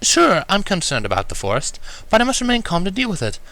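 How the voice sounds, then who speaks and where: A man's voice: sits high, huge pitch swings, quick pace, sharp tone, no room sound, pitched above the performer's own voice, exaggerated voice. A man voicing a refined, dignified female cartoon pony with a proper, polite manner in a fan fiction reading. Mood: composed, gracious.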